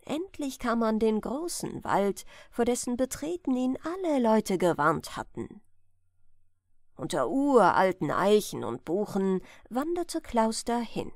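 A woman reading a fairy tale as a bedtime audiobook, in an expressive, measured storytelling manner. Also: medium pitch, no echo, clean studio sound. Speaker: audiobook reader.

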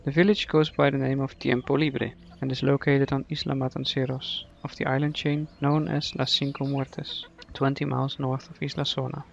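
A man narrating a scripted radio play in a measured, dramatic storytelling manner. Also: mid-range voice, creaky, dull audio.

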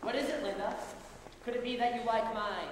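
A man's voice: very high-pitched, fairly even intonation, brisk. A very distant, reverberant theatre recording with heavy noise. A young man plays a naive, chirpy husband who is earnest and needy in a stage comedy. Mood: proudly eager. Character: naive, eager, needy husband and hapless chancellor. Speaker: stage actor. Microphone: distant audience camera. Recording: very echoey, noisy theatre recorded from afar.

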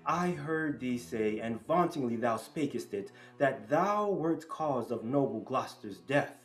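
An actor playing a stern, vengeful, combative lord, declaiming in a Shakespearean staged reading. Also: male voice, mid-range voice, animated, measured pace, lightly acted. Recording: echoing room.

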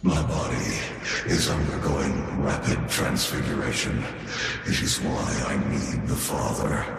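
An actor playing a cold, calculating male maker-scientist in a video game cutscene, speaking in a booming, deliberate, solemn way. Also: gravelly, heavy background noise, exaggerated voice. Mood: instructive. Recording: roomy distant voice under loud game music.

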